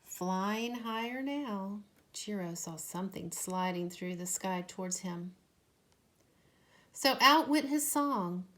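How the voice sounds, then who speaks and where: A woman reading a children's storybook aloud expressively, with measured, deliberate phrasing. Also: on the high side, a bit bright.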